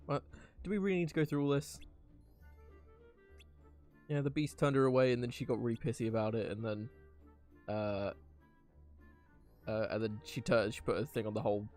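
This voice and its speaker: A man's voice: medium pitch, steady tone, normal speed, long pauses, a little mellow. A young man giving casual live gameplay commentary, rambling hesitantly in an amused way. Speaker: game streamer. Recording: game music behind voice.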